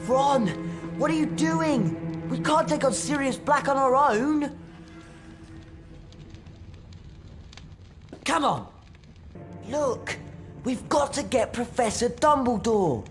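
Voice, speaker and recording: A man's voice: very high voice, animated, slow, faint music behind, full character voice. A male actor playing an earnest, plucky British schoolboy wizard in a fantasy video game. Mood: urgent.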